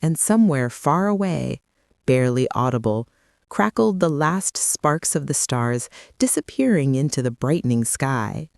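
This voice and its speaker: A woman narrating a calming children's storybook tale in a gentle, soothing manner. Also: low-pitched, gravelly, no room sound, clean studio sound.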